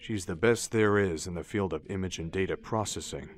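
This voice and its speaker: A man playing a calm older army colonel in an audio drama, measured and matter-of-fact. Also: deep voice, natural voice. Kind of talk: scripted audio drama acting. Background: music.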